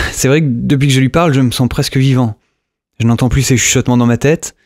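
An actor playing a haunted lone survivor who keeps an audio diary, halting and brooding as he repeats his words. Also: mid-range voice, fairly even intonation, a little mellow, no echo, studio quality, natural voice. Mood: confiding. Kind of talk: audio drama monologue recorded as a diary.

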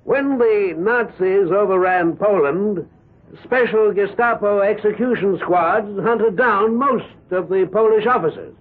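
A muffled seventies radio drama recording of a defence counsel. His voice is high, and he questions in a formal, deliberate, probing way. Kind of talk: 1970s radio drama acting.

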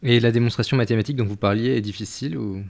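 A man's voice: medium pitch, racing, gravelly, darkish tone. A young man briefly reading listener questions aloud, recorded on a stand mic in a study. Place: study room indoors. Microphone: studio mic on stand.

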